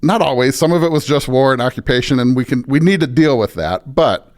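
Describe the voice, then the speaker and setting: A man's voice: mid-range voice, a little fast. A man speaking as a pastor in a thoughtful interview, earnest and reflective, often repeating phrases for emphasis. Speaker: pastor and podcast guest.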